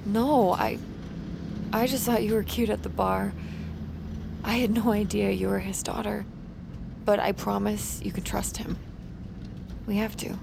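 A woman's voice: medium pitch, fairly even intonation, unhurried, creaky, long pauses, noisy background. An actress playing a protective young woman rescuer in an audio drama, speaking directly and firmly in a natural, reassuring way. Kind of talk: naturalistic audio fiction acting. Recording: music behind the voice.

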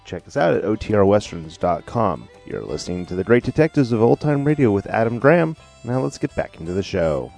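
A man with a fairly low, creaky voice reads a friendly promo. He sounds like a scripted announcer, with faint music underneath. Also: big pitch swings, brisk, hardly any pauses.

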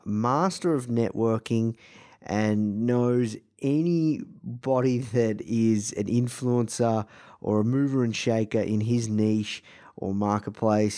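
An Australian man hosting a podcast with a croaky, hoarse voice. He speaks upbeat and fast, with many "uh" fillers. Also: on the low side, warm tone. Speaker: podcast host. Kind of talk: casual podcast intro monologue.

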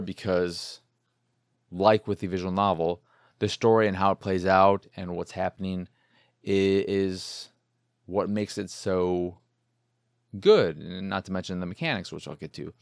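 A man with a fairly low voice and plenty of fry talks through game reviews as a podcast host. He is casual and rambling, doubling up words for emphasis. The recording is close and very dry. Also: moderate pace, no echo. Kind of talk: casual solo review talk.

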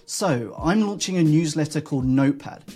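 Man, higher pitch, upbeat scripted promo, faint background music. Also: expressive, a little fast, mellow, husky. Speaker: senior editor reading a promo.

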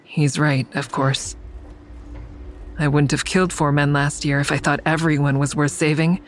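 A woman's voice: low-pitched, level tone, normal speed, a little hiss or hum, natural voice. An actress playing a guarded, sardonic woman in an audio drama, dry and wry in dialogue and first-person narration. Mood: tense narration. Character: guarded, sardonic woman, crisis counselor with secret kills.

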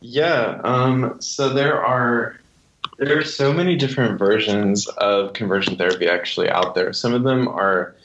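A man's voice: mid-range voice, measured pace, fairly bright tone, a little hiss or hum. A man speaking conversationally as a guest author being interviewed, on a slightly dull recording.

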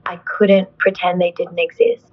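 A woman's voice: fairly low pitch, huge pitch swings, normal speed, highs cut off. A young adult woman, an actress, talking in an interview in an earnest, thoughtful way, full of "like" and "you know". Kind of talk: reflective conversational interview.

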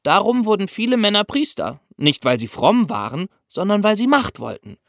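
A man's voice: high voice, big pitch swings, normal speed, fairly bright tone, no echo. Man, podcast host, very varied intonation, close dry sound, explanatory scripted storytelling. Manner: explanatory, addresses listeners directly.

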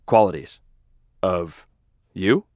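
A man voicing a nervous, deferential young male lab assistant who speaks haltingly in an audio drama. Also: deep voice, warm tone, no echo, studio quality. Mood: hesitant. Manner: hesitant, halting.

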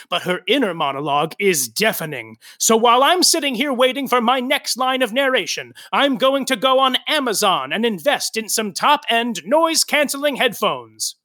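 An actor playing a droll, self-aware comic narrator with an arch, sardonic manner. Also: male voice, extremely high pitch, bright, forceful tone, dry recording, clean studio sound, full character voice. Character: droll, snarky, self-aware narrator who comments on characters. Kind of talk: comedic scripted narration.

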